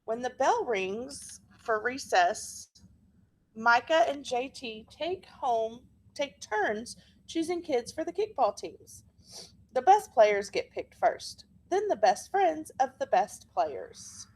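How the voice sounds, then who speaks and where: A woman reading a children's picture book aloud in an expressive, deliberate storytelling manner. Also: on the high side, rough recording. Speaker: storybook reader.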